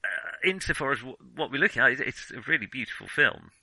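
A man's voice: mid-range voice, sing-song, fast, sharp tone, slightly rough, no echo, poor audio. A man co-hosting a casual film discussion, hesitant and often correcting himself as he thinks aloud. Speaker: film discussion podcast co-host.

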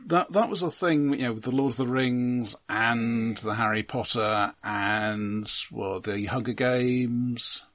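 A man co-hosting a podcast in casual film discussion, often starting haltingly and repeating his words. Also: mid-range voice, steady tone, fairly bright tone, dry recording. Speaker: podcast co-host.

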